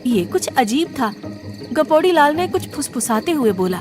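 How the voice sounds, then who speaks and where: Female storybook narrator, high voice, scripted delivery, music clearly underneath. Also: big pitch swings, normal speed.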